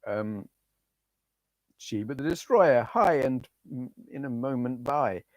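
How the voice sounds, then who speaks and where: A middle-aged man with a hoarse voice, chatting casually to viewers on a retro gaming live stream and reading out their names. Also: medium pitch, animated, slow, darkish tone, no room sound, poor audio. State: hoarse, losing his voice.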